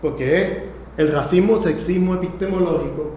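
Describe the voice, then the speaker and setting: A man's voice: high-pitched, normal speed, a little mellow. A man giving an improvised academic lecture, emphatic and didactic, checking in with short tag questions. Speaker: lecturer teaching a class. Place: indoor lecture room. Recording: very echoey room, faint noise.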